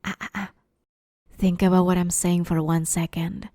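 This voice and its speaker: Woman acting a dark-toned, playfully sadistic female vampire. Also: on the low side, flat delivery, normal speed, dry recording, studio quality. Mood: teasing menace. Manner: teasing, mockingly sweet, menacing, often hushed. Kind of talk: ASMR roleplay, dramatic monologue.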